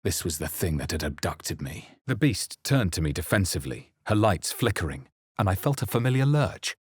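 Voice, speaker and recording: A brooding adventurer narrates a dark fantasy game in a very low, husky voice. The delivery is measured and grave, with dramatic pauses, recorded dry and close. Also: male voice, sing-song, no echo, full character voice. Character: brooding male adventurer narrating his own dark memories. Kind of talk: solemn first-person narration in a fantasy video game.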